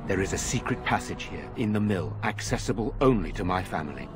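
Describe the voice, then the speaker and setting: A man's voice: low voice, lively intonation, fairly quick. An actor playing a troubled, dutiful nobleman in a fantasy game, with a formal and earnest way of speaking. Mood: grave, pensive. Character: troubled, dutiful nobleman protecting his villagers. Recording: music behind the voice.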